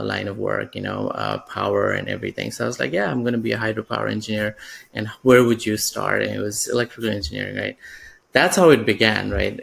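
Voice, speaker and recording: A man's voice: fairly low pitch, vocal fry, a bit bright. A man in his thirties from Nepal, a podcast guest talking through his career with lots of fillers and restarts. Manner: reflective, many fillers and restarts. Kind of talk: podcast interview.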